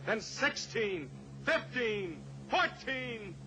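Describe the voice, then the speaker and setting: An actor playing a steely heroic cowboy pilot in an old-time radio drama, measured, taunting and authoritative. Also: male voice, very high voice, sing-song, pushed and bright, soft background music, exaggerated voice. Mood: grim, pressing. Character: steely heroic cowboy pilot, coolly pressuring a captive killer. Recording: noisy old radio recording, engine drone.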